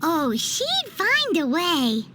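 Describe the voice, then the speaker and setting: A woman voicing a tiny, chatty, cheeky floating fairy companion in a video game, with a squeaky childlike voice and an excitable manner. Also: extremely slow, slight background noise, exaggerated voice. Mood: opinionated, animated. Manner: speaks of self in third person, excitable. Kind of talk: video game character dialogue.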